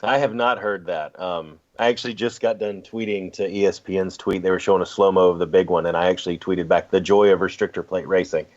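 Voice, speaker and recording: A man's voice: sits low, steady tone, brisk, few pauses, a little mellow. A man co-hosting a sports podcast, chatting casually in an easygoing, slightly hesitant way. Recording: dull, lo-fi audio.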